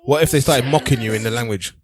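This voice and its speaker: A man hosting a casual group podcast chat, posing questions in halting, hesitant phrases. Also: medium pitch. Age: adult, parent of a daughter. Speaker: podcast host.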